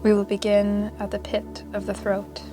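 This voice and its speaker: A woman with a mid-range voice leads a guided meditation. Her delivery is soothing and measured, staying very level in pitch with generous pauses between phrases. Music plays clearly behind her. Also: monotone. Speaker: yoga nidra meditation guide.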